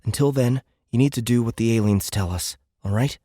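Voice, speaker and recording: A man's voice: mid-range voice, fairly quick, mellow, dry recording, clean studio sound, lightly acted. A man voicing a weary, protective teenage boy who reassures younger kids in a science fiction audiobook. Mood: reassuring.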